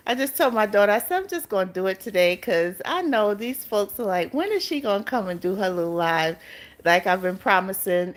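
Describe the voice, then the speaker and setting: A woman's voice: mid-range voice, a bit bright. Middle-aged woman from Barbados, live-stream host, chatty, greets viewers and laughs often. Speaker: YouTube channel host.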